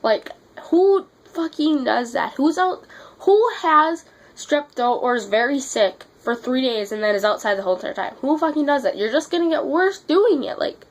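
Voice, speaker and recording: A teenage girl talks straight to her viewers in a casual vlog, her voice worn down and congested from being sick. She sounds fed up and blunt, cursing freely as she rants. Her voice sits a little high for a woman. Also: lively intonation, moderate pace. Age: teens. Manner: blunt, exasperated, swears freely. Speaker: vlogger.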